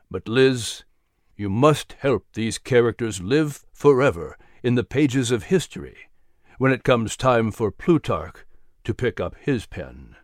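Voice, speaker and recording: A man voicing a grave, wise mentor in a storybook drama, speaking solemnly and deliberately. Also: mid-range voice, no echo, clean studio sound. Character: grave, wise male mentor guiding through history. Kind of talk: storybook character voice.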